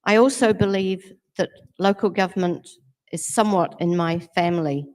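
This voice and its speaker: Steady, assured middle-aged woman. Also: lowish voice, moderate pace. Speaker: council candidate. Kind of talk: campaign closing statement.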